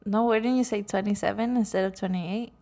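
A woman co-hosts a casual podcast, teasing her friend and handing out easygoing advice. She talks in a chatty, friendly way. Her voice sits in a middle pitch for a woman. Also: fairly even intonation, fairly quick, dark tone. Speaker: podcast co-host. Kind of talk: casual chat.

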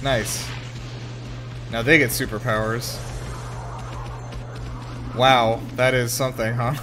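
A man co-hosting a casual movie-reaction chat, excitable and joking, with casual swearing. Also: medium pitch, slow pace, background noise. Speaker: podcast co-host.

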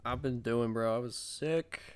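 A man's voice: medium pitch, measured pace, smooth. A young man co-hosting a podcast in casual chat, with a stuffy, congested nose and a laid-back, joking way of talking. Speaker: podcast co-host.

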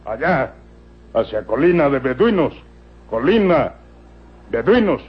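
An actor playing a loyal, simple manservant in a radio serial, speaking in halting broken fragments with a husky voice. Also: male voice, mid-range voice, big pitch swings, heavily acted. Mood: halting, eager. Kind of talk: old radio serial acting. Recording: dull lo-fi old radio recording.

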